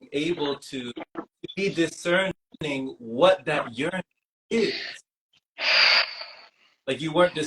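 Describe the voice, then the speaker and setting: A man in casual, reflective conversation, speaking appreciatively in halting, broken phrases. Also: a little high, a bit bright, a little hiss or hum, rough recording.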